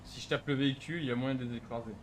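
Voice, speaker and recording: A man's voice: medium pitch, fairly even intonation, very fast, background noise. A man chatting casually over a video game, blunt and provocative in the way he talks. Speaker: gamer, video creator trolling in online roleplay. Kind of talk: casual gameplay commentary and banter.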